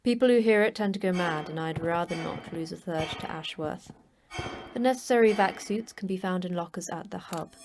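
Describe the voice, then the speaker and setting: A woman's voice: lowish voice, brisk, over loud music, natural voice. An actress playing the terse, dry, matter-of-fact female manager of a secret monster facility in a sci-fi horror audio drama. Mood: dry, brisk. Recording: clear background noise.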